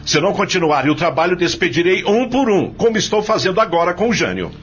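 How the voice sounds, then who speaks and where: A man's voice: high voice, big pitch swings, quick pace, a bit bright. A man playing a cruel, arrogant farm boss in an audio soap opera, with a strident, threatening, contemptuous delivery. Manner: threatening, contemptuous, barking orders. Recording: dull lo-fi audio, some noise.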